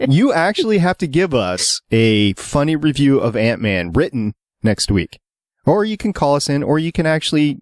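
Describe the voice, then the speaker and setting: A man hosts a casual fan podcast with chatty enthusiasm. His voice sits at a medium pitch, recorded close and dry. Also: no echo. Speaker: podcast host.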